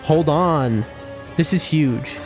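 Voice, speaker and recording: A young man streaming a game, shouting during fights and rambling to his chat between them. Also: a little high, slow, faint music behind. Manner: excitable, loud outbursts during fights; rambling, many fillers and restarts when chatting. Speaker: gaming streamer. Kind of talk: live gameplay commentary and chat talk.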